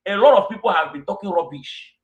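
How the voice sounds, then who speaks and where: A man's voice: high-pitched, expressive, fast, reverberant, muffled recording. A man with a Nigerian Igbo accent giving an angry, scolding monologue to his followers. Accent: Nigerian Igbo English. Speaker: self-styled king addressing followers. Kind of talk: fiery monologue.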